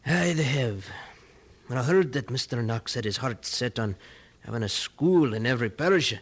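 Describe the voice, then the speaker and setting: Radio drama casting: relaxed family father, rough husky medium voice, homely. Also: medium pitch, moderate pace. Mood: contented. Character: easygoing Scottish father at home. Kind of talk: audio drama acting.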